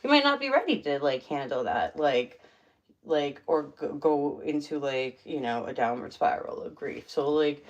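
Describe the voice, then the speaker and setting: A woman's voice: low voice, animated, moderate pace, muffled recording. A woman casually chatting on a live stream from her home, rambling with many fillers. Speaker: livestream host. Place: home room.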